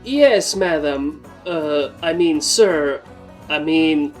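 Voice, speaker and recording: A woman's voice: sits low, expressive, drawn-out words, faint music behind, heavily acted. A woman voicing a formal, dutiful royal guard pony in a story reading, stiff and official. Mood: flustered.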